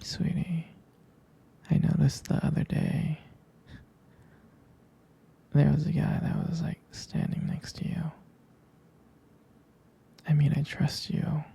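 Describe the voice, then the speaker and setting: A man playing a jealous, devoted boyfriend in an ASMR roleplay, speaking intimately and haltingly in a breathy, husky whisper. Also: fairly high pitch, monotone, warm tone, full character voice. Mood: uneasy, jealous. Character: jealous, possessive, devoted boyfriend soothing his sweetheart.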